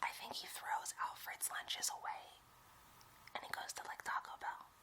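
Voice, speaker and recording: A woman playing a young, giddy, boastful superhero sidekick who schemes and gossips in a breathy whispered ASMR role play. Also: normal speed, pushed and bright, lightly acted. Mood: gossipy. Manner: conspiratorial, gossipy, rambling.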